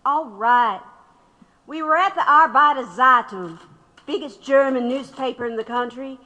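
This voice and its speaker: A defiant woman activist being questioned in a radio play. Her voice is high and forceful, embittered and unyielding, ringing in a reverberant room. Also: a little slow. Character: defiant, embittered female political activist under interrogation. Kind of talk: radio drama acting.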